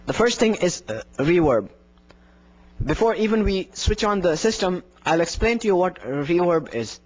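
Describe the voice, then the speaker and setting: A man's voice: high-pitched, very animated, normal speed, muffled recording. A young man teaching a workshop on giving the call to prayer, explaining and demonstrating with his own voice into a handheld microphone indoors. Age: young adult. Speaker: adhan instructor. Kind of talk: workshop lecture. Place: indoor room in front of a plain wall. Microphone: handheld microphone plus lapel mic.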